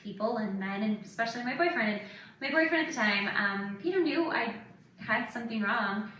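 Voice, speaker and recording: Bright high-voiced woman in an echoey room. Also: fairly quick. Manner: animated, acts out quoted remarks. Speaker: podcast host telling personal stories. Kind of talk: casual chat, candid anecdotes. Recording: echoey room, distant mic, faint noise.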